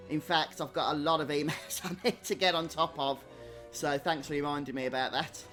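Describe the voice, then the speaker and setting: A man's voice: fairly high pitch, fairly even intonation, brisk, a bit bright. A man hosting a game stream, chatting casually and conversationally as he replies to viewers. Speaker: gaming streamer and host. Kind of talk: casual live stream chat over gameplay. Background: video game music.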